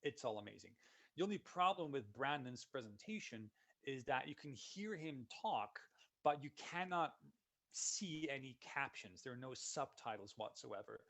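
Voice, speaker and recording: A man, a solutions consultant giving a relaxed, conversational walkthrough of software in a live webinar. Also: mid-range voice, moderate pace, vocal fry. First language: Dutch. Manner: relaxed, conversational walkthrough narrating each click.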